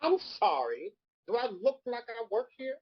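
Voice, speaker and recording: A man's voice: very high voice, very animated, normal speed, slightly rough, muffled recording, exaggerated voice. An actor playing a sardonic, unimpressed, streetwise man in a comedic table read, talking in a pointed, conversational way. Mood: affronted.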